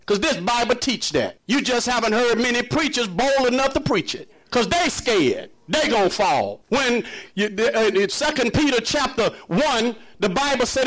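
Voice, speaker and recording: A man's voice: extremely high pitch, fairly bright tone, rough recording. A man preaching a sermon in an emphatic, conversational and challenging manner, full of rhetorical questions. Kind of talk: impassioned sermon to a congregation.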